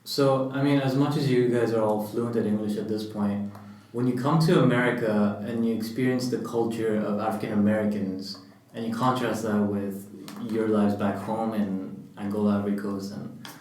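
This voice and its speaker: A man hosting a relaxed, conversational discussion with student guests. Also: lowish voice, moderate pace, slight background noise. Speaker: host. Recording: echoey room, distant mic.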